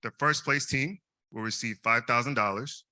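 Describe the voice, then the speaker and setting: Bright, halting young man emceeing. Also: medium pitch, dry recording. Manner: hesitant, frequent repeated words. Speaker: student event host. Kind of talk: live event emceeing.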